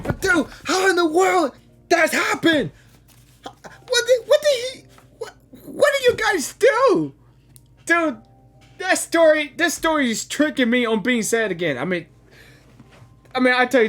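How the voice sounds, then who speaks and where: A female gaming reactor with a very high, bright voice that swings widely in pitch. She exclaims and repeats herself emotionally as she reacts live. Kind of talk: excited live reaction commentary.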